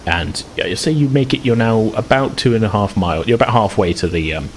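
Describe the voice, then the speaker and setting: A man's voice: fairly low pitch, a little fast, a little hiss or hum. A man casually narrating a tabletop roleplaying game as game master, in a conversational, hedging way.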